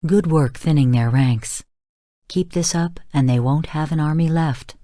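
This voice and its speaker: Exacting female game AI, low pitch, very dry close sound, cool, deadpan, clipped, formal. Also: measured pace, no echo. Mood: coolly instructive. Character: cool, exacting female AI combat coach, bluntly critical. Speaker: game announcer and coach. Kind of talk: scripted game voice lines.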